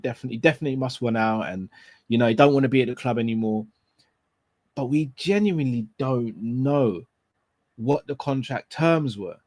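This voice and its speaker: A man hosting a casual live fan show, his voice raspy and a bit hoarse and tired, talking in an opinionated way and picking apart wording with repeated rhetorical questions. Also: medium pitch, darkish tone. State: hoarse and tired after illness. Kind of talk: casual live football talk.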